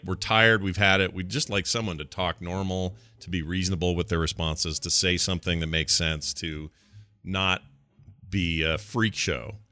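A man co-hosting a casual political chat podcast. He talks in a relaxed, conversational way and trails off into a low mutter. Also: no echo. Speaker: podcast co-host.

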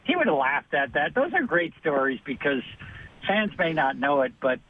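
A former hockey player as guest in a casual interview, speaking in a measured, reflective way. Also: male voice, fairly high pitch, sing-song. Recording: tinny phone line.

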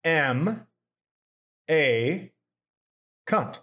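A man hosting a podcast, telling stories in a casual, profane, animated way. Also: on the high side, very slow. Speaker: podcast host.

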